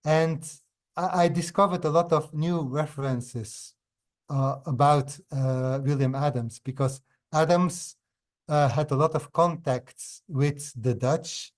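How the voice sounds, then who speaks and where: Slow, hesitant Belgian-born man on a video call. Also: fairly high pitch, fairly even intonation. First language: not English. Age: 50s. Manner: hesitant, frequent fillers and restarts. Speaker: guest speaker, historian. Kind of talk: webinar talk.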